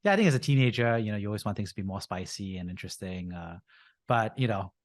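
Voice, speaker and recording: A man's voice: fairly low pitch, lively intonation, quick pace, no room sound. A man in his thirties from Singapore, a venture capitalist telling his story as a podcast guest, with frequent fillers. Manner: frequent uh and you know fillers, easygoing. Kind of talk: casual interview storytelling.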